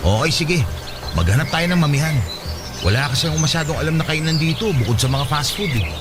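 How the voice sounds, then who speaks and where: Man acting an animated high-voiced young boyfriend. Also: quick pace, close to an everyday voice. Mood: easygoing. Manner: casual, placating. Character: devoted, easygoing young boyfriend. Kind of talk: Tagalog audio romance drama acting. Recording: loud music bed under the voice.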